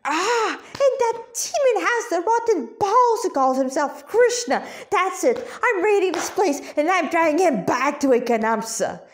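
Woman acting cat demon woman, fantasy story, hot-tempered, brash, high-pitched, indignant, cursing. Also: lively intonation, moderate pace, few pauses. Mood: furious.

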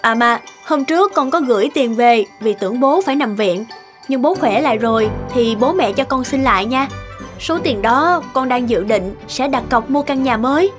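A woman's voice: high voice, animated, normal speed, soft background music. A woman playing an anxious, insistent middle-aged daughter and mother in a cartoon, fretful and questioning. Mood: relieved. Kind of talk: cartoon dialogue acting.

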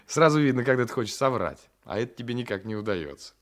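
An actor playing a brooding, passionate gendarme and former lover with a menacing streak, in a radio drama. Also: male voice, mid-range voice, very animated, fairly quick, natural voice. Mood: teasing. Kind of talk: Soviet 1980s radio drama acting.

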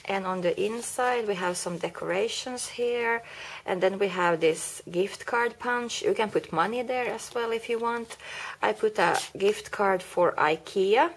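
A woman's voice: mid-range voice, a little slow. A woman with a cold and a slightly rusty voice, chatting through a card-making tutorial step by step and correcting herself along the way. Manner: chatty, explains step by step with small self-corrections. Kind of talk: casual craft tutorial. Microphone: camera mic over a craft table, hands only.

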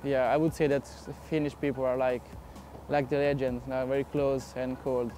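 A man's voice: mid-range voice, level tone, normal speed, mellow, faint music behind. A young man with an Italian accent, speaking enthusiastic broken English in a documentary interview outdoors. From Italy. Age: young adult. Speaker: exchange student. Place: outdoors in a city square.

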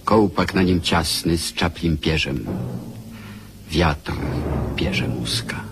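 An actor playing a solemn, stately chronicler of an old war, declaiming in a radio play. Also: male voice, a bass voice, lively intonation, slow, over loud music, full character voice. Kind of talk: declamatory radio drama narration.

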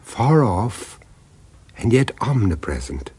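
A grave storyteller for a radio horror play. His low, husky voice moves very slowly through suspenseful pauses, deliberate and ominous. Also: very animated, darkish tone, heavily acted. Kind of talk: scripted narration in radio horror drama.

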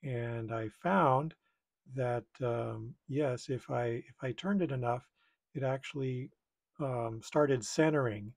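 A man's voice: mid-range voice, slow, gravelly. A middle-aged man giving casual hands-on tutorial commentary, thinking aloud in a tentative way. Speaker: repair video maker.

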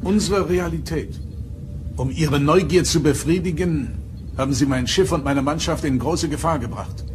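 An actor playing a commanding starship captain in an audio drama, formal, insistent and probing. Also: male voice, a little high, normal speed, background noise, close to an everyday voice. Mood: stern, probing. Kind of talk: German audio drama acting.

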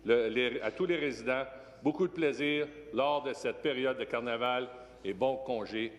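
A middle-aged man, a legislator, reading a prepared statement in a steady way at a desk microphone in a large chamber. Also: medium pitch, moderate pace. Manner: steady, read-aloud delivery. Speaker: member of the legislature. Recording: long reverb of a large chamber.

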